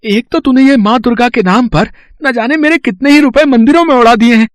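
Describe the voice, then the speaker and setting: A man plays a greedy, miserly trader from a storybook drama, forever irritable with those around him. His voice is extremely high for a man, fussy and scolding. The sound is close and dry. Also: fairly quick, no echo. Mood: grumbling, greedy. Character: greedy, miserly small-town trader, irritable grumbling husband.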